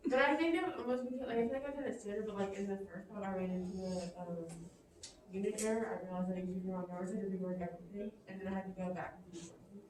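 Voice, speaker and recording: Woman, student, rapid talker, rambling with "like", distant, very echoey classroom. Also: mid-range voice, fairly even intonation, a little mellow, a little hiss or hum. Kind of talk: casual classroom talk.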